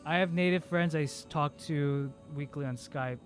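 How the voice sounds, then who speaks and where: A man's voice: on the high side, moderate pace, smooth, faint music behind. A male game streamer, a native Cebuano speaker, chatting casually and rambling with lots of fillers. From Philippines. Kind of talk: casual livestream chat.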